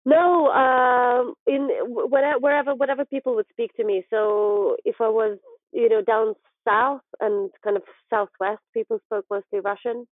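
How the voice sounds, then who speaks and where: A woman's voice: fairly high pitch, fairly even intonation, measured pace, no echo. A young woman from southern Ukraine, chatting as an interview guest with lots of restarts and fillers. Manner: hesitant, full of restarts and fillers. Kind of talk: casual interview chat. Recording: dry, phone-like line.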